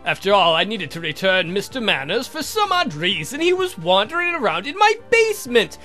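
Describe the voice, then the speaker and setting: A man voicing a sardonic, theatrical vampire count for a visual novel game, mocking and campy in a drawn-out way. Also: medium pitch, wildly swooping pitch, pushed and bright, soft background music. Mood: gloating, mocking.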